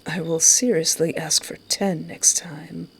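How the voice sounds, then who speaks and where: A woman voicing a courtly, flirtatious male wish-granter from a visual novel, speaking softly and formally with a teasing edge. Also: sits low, steady tone, a little slow, vocal fry, husky, heavily acted. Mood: tender teasing. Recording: music behind.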